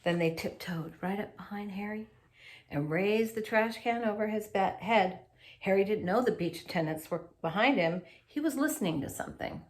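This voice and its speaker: A woman reading a children's picture book aloud in an animated, expressive way, adding little asides for young listeners. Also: mid-range voice, moderate pace.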